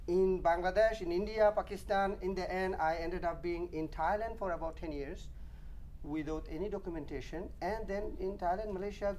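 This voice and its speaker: A man's voice: high-pitched, darkish tone, poor audio. Man, earnest activist guest, emphatic listing, studio interview, slight noise underneath. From Arakan, Burma. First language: Rohingya. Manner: earnest, emphatic, piles up lists and repeats points. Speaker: guest, human rights activist.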